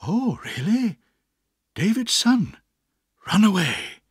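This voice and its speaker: A man voicing an odd, gentle grey-haired old gentleman who speaks in a vague, hesitant way. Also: sits high, very animated, takes it slowly, breathy edge, no echo, clean studio sound, voice pushed up, heavily acted.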